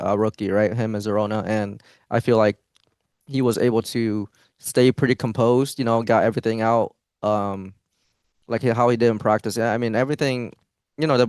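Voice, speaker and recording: A man's voice: on the low side, fairly even intonation, moderate pace, a little mellow, clean tone, no echo, studio quality. A young man, a pro esports player, answering interview questions in a hesitant way, with many fillers and restarts. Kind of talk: post-match interview answers.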